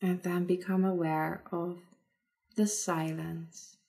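Female meditation guide: mellow, very even delivery, soothing, leaving long pauses. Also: lowish voice, creaky.

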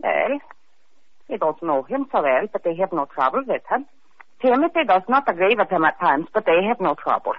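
Timid foreign maid. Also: female voice, fairly low pitch, very animated. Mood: nervous. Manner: timid, halting broken English. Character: nervous foreign-born housemaid, young woman. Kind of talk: 1940s radio acting. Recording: dull old radio recording.

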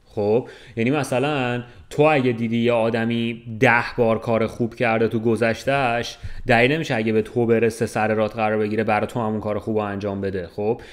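A man's voice: mid-range voice, flat delivery, few pauses. Young man chatting casually, explaining things. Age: 20s. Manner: explanatory, colloquial, checks in with listener. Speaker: podcast co-host. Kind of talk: casual chat. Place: room with large window, indoors. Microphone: desk microphone.

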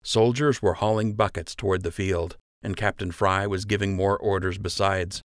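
A man's voice: low voice, fairly even intonation, moderate pace, no echo, clean studio sound. A man narrating scripted fiction as an audiobook reader.

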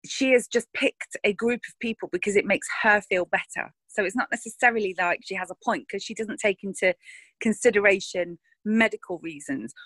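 A woman's voice: medium pitch, fairly quick, fairly bright tone, no room sound. An actress talking frankly in a casual interview, thinking aloud and restarting her sentences.

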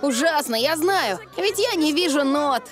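A woman's voice: high voice, lively intonation, unhurried, over music. A woman voicing a grumbling but game young schoolchild detective in a cartoon dub. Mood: glum.

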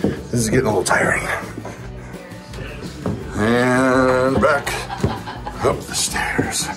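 A man's voice: mid-range voice, fairly even intonation, slow, over loud music. A middle-aged man hosting a casual family vlog at home, joking and easygoing as he talks to a handheld phone camera. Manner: easygoing, joking, faith phrases. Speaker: vlog host. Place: home interior, kitchen and living room.

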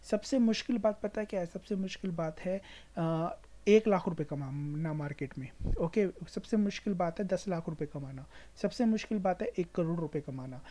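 A woman's voice: fairly low pitch, normal speed, dark tone. A woman with an Indian accent hosting an informal explainer, advising emphatically with repetition and mixing in English words. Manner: mixes English words into speech. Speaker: stock market video host. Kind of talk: informal explainer over screen share.

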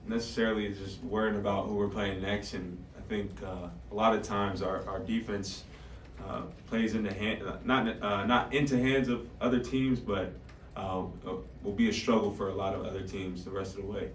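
Give a young man in his twenties with a lowish, very even voice. He answers like an athlete at a press conference, halting as he goes. The room is echoey. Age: 20s. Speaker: college basketball player. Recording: echoey room, distant mic, faint noise.

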